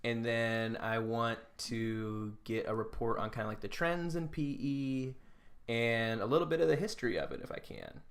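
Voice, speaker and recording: A man's voice: medium pitch, normal speed. A man co-hosting a podcast in casual banter, easygoing and self-deprecating as he talks. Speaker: podcast co-host. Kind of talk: casual banter between friends.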